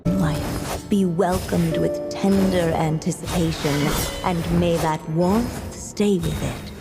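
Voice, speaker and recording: An actress playing a solemn, tender motherly storyteller, narrating a storybook tale in a game with formal, measured delivery. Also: lowish voice, fairly even intonation, gravelly, over loud music. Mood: gentle, solemn. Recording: long reverb.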